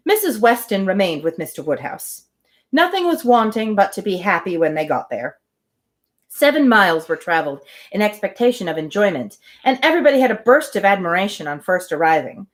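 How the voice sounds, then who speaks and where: Animated woman reading aloud. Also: medium pitch. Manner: chatty, wry commentary between passages. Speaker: host of a live-streamed novel reading. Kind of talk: reading aloud with casual asides.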